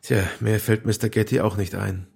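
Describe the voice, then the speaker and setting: An actor playing a gruff, blunt police officer in an audio drama, with a husky, gravelly voice. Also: male voice, lowish voice, level tone, normal speed, a little mellow, heavily acted. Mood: puzzled. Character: gruff, startled male police officer at crime scene.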